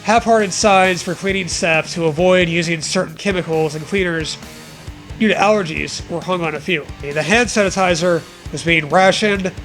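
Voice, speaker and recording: Bright, creaky, high-pitched young man. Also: moderate pace. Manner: amused, jokey, swearing. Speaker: co-host reading fan fiction aloud. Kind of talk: casual comic reading and riffing. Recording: music playing behind the voice. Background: background music.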